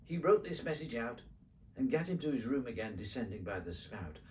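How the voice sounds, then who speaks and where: A man recites narrative verse for children in a theatrical, rhythmic way. His pitch rises and falls widely. He sounds slightly away from the microphone, with faint noise behind him. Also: fairly quick, recorded from a distance. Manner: expressive, rhythmic, theatrical phrasing. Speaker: poetry reader.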